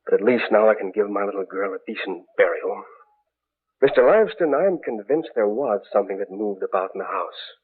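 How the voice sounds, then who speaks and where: An actor playing a grieving older father in a 1940s radio drama, halting and solemn, on a muffled vintage recording. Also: fairly low pitch, expressive, husky. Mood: solemn grief. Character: troubled, grieving older father of a grown daughter.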